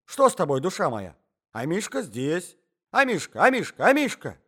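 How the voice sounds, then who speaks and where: A man voicing a fussy, indignant old husband in a story, shouting, repeating himself and sputtering. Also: a little high, very animated, normal speed, voice pushed up. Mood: alarmed, fussing.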